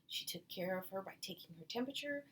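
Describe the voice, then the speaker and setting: A woman reads a picture book aloud for children at a medium pitch. She narrates the story and adds little asides for young listeners. There is faint noise in the background. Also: animated, fast talker, a bit bright. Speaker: nurse reading aloud to children.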